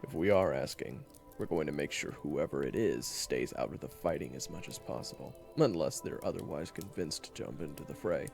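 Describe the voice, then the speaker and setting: A man playing a gruff, blunt, impatient monster hunter with a gravelly voice in a fantasy audio drama. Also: rumbling low, moderate pace, darkish tone, faint music behind. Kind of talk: fantasy audio roleplay acting.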